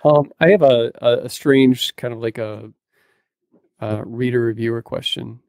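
A man co-hosting a podcast, asking casual interview questions in a halting, hesitant way, close to his mic. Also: mid-range voice, vocal fry, a little mellow, no echo. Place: home room with books, on a video call. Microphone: close mic on a boom arm.

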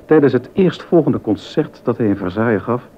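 An actor playing a baroque musician's worldly, sympathetic and gossipy confidant in a period docudrama, measured and dryly amused. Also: male voice, medium pitch, lively intonation, lightly acted. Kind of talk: Dutch docudrama dialogue. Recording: dull sound with background noise.

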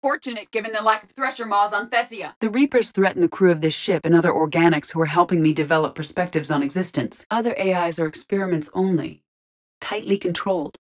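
An actress voicing a female artificial intelligence in a video game, speaking in a measured, formal, precise and detached way. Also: fairly low pitch, natural voice. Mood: calm, analytical. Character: calm, analytical female artificial intelligence in an android body.

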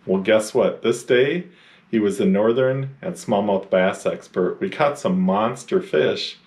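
A man's voice: on the low side, unhurried, husky. A middle-aged man chatting casually while fishing from a boat on a lake, recorded on an action camera. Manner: relaxed, chatty, cheering on fish. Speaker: fishing vlogger.

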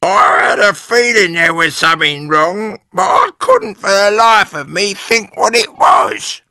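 A man voicing a shrill, gullible, panicky old wife in a children's storybook, wailing and drawing out the exclamations. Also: big pitch swings, pushed and bright, dry recording, full character voice. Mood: puzzled, shrill. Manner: shrieking, wailing, drawn-out exclamations.

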